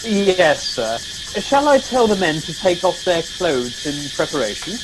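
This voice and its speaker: An actor playing a genteel, polite second-in-command, mildly appalled, in a comic radio play. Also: male voice, fairly high pitch, big pitch swings, measured pace, noisy background. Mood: dutiful. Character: genteel, languid public-school English male second-in-command.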